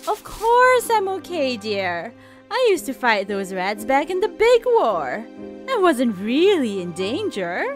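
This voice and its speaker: A woman voicing a feisty, no-nonsense old grandmother in a comic dub, brisk, bossy and folksy. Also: sits high, huge pitch swings, exaggerated voice. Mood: reassuring. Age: older. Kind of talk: fan comic dub voice acting. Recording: music behind the voice.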